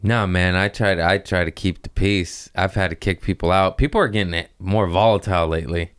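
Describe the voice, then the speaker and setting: A man's voice: deep voice, fairly even intonation, a little fast, a bit bright, clear, resonant tone, no room sound, studio quality. A man, a stand-up comedian, giving short, blunt, laid-back replies in a casual podcast chat.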